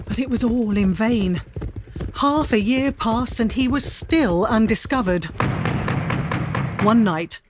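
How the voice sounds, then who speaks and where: Female narrator, medium pitch, measured storytelling, scripted drama, faint background noise.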